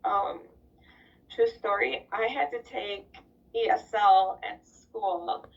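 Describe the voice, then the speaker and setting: A woman's voice: fairly high pitch, slow, vocal fry. A woman from the United States with a slight Spanish accent on some words, chatting through interview answers with lots of fillers, on a video call. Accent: slight Spanish accent on certain words. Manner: relaxed, chatty, many fillers. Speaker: interviewee, employee of a global company. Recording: distant, dull lo-fi sound.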